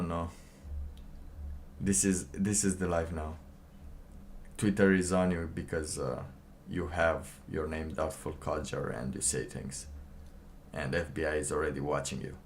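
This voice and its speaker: Slow, low-voiced man with background noise. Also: level tone. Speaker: livestream host. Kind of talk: casual livestream commentary, reading documents aloud.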